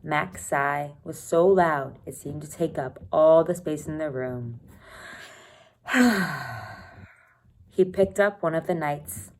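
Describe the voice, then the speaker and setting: A woman teacher reading a children's novel aloud expressively, pausing to think aloud and comment for her students. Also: deep voice, darkish tone, slight background noise. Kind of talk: read-aloud storytelling with asides to students.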